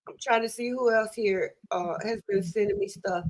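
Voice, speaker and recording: A woman's voice: mid-range voice, moderate pace, low-quality recording. A woman hosting a casual live stream, upbeat, laughing often and addressing viewers as y'all.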